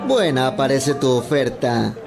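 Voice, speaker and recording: Man acting a creaky-voiced, suspicious servant. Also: mid-range voice, animated, a little slow, music in the background. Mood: suspicious, dry. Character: shrewd, suspicious servant haggling at a market stall. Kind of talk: radio drama acting. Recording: busy outdoor crowd behind.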